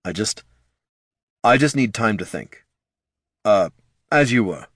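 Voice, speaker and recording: A man's voice: on the low side, lively intonation, a bit bright, breathy edge, no room sound, clean studio sound, full character voice. Man acting a troubled, faltering undead knight commander. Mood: apologetic. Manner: halting, stammering.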